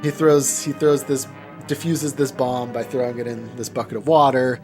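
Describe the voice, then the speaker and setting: A man hosting a podcast, recapping films casually in halting, colloquial speech with some swearing. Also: mid-range voice, steady tone, darkish tone, faint music behind. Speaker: podcast host. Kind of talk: casual film discussion and recap.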